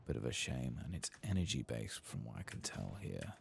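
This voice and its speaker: Very deep, gravelly soft-spoken man. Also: sing-song, moderate pace, slight background noise. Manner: hushed, soft-spoken, at times whispering. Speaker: host of a gameplay channel. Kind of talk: soft-spoken ASMR video game commentary.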